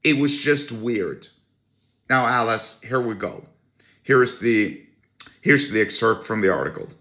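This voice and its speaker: A man's voice: medium pitch, animated, unhurried, sharp tone. A man with a Norwegian accent, hosting a solo podcast and ranting in a blunt, scornful way with many restarts. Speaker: podcast host. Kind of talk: opinionated solo podcast rant.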